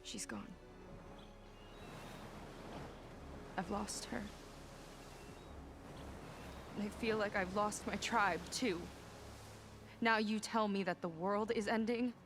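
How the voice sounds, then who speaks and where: Young female warrior played by an actress, game drama: candid, earnest, halting delivery, medium pitch, audible ambient sound underneath. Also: noisy background, natural voice. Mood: sad, confessional. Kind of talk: video game cutscene acting.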